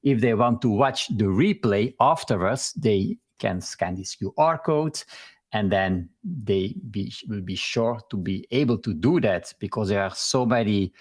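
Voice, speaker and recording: Husky Belgian-accented man hosting. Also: fairly low pitch, lively intonation. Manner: halting, many "uh" fillers, laughs often. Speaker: host. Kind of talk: live-stream interview.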